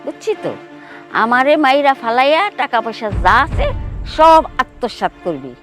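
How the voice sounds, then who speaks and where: Scolding, high-pitched Bangladeshi mother-in-law. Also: sing-song, moderate pace, fairly bright tone, soft background music. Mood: suspicious, accusing. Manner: scolding, sneering, accusatory. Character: abusive, scornful mother-in-law, bitter village matriarch. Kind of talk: melodramatic TV drama acting.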